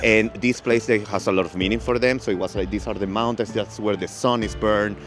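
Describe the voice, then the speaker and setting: A man's voice: fairly low pitch, fairly even intonation, brisk, music in the background. Laughing man telling a trip story. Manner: laughs often, loose filler-heavy storytelling. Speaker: travel companion recounting a trip. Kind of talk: casual conversation.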